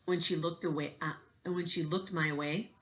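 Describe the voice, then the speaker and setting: A woman's voice: low voice, steady tone, normal speed, a bit bright. A woman reading a storybook aloud in the manner of a teacher addressing children.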